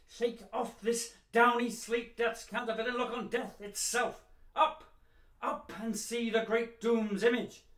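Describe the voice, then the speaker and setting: A man's voice: very high-pitched, bright, forceful tone, full character voice. A man playing an urgent, loyal nobleman in a classical Shakespearean radio drama, recorded in an echoing room. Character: urgent, loyal Scottish nobleman, horrified by murder.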